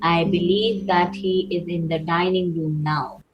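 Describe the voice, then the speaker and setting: A woman acts as a big, obedient butler in a radio play. The delivery is low-ish, formal and deferential. The recording is dull, with music behind it. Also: steady tone, measured pace, close to an everyday voice. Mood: dutiful. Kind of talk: amateur radio play acting.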